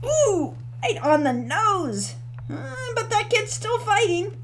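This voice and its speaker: A woman voicing a coarse older male stable master in an audiobook, loud and jeering. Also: very high-pitched, very animated, takes it slowly, slight background noise, pitched above the performer's own voice, heavily acted.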